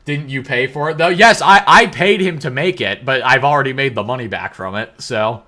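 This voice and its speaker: This young male streamer talks with a bright, forward tone and a teasing, joking manner. He reads out chat messages and riffs on them in loose banter at his home setup. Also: medium pitch. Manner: joking, teasing, quick banter with frequent laughs. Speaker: livestream host. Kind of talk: casual live-stream chat, reading out chat messages.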